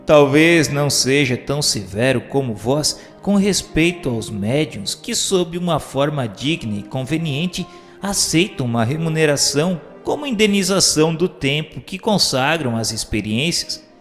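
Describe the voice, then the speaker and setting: A Brazilian man reading formal scripted narration aloud in a measured, declamatory way, like an audiobook. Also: on the high side, animated. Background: music.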